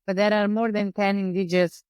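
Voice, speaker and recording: A woman hosting a podcast interview, asking halting questions with frequent pauses and fillers. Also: mid-range voice, flat delivery, darkish tone, clear, resonant tone, no echo, studio quality. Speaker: podcast host.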